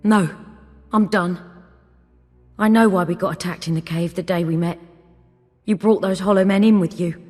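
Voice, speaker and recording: An actress playing a young, blunt and earnest female warrior monk in a fantasy game, plain-spoken and husky. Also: lowish voice, fairly even intonation, moderate pace, long pauses, a little mellow, close to an everyday voice. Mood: weary, resolute. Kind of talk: dramatic fantasy game acting. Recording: long reverb, music behind.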